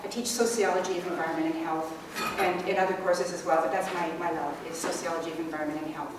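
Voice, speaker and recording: A middle-aged Canadian woman gives an unscripted campaign speech as an election candidate, conversational and halting, repeating words as she goes. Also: on the low side, steady tone. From rural Canada. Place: indoor public meeting room. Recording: echoey room, distant mic, faint noise.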